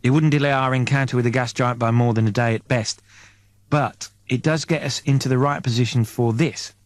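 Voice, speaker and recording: A man's voice: medium pitch, steady tone, fairly quick, no echo, close to an everyday voice. A man voicing a weary, sardonic male scientist in an audiobook. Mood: dry, patient. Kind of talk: audiobook character voice.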